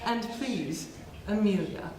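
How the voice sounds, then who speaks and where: A woman's voice: medium pitch, slow pace, warm tone, slight background noise, close to an everyday voice. A woman acting a gracious aristocratic countess in a theatrical period stage comedy. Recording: echoey reverberant theatre hall recording.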